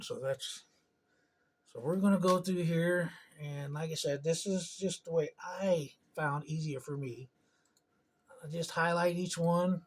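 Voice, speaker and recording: A man giving an informal step-by-step tutorial in a halting, unscripted way, thinking aloud as he goes. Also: a little high, husky. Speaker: tutorial presenter. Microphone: camera on a tripod.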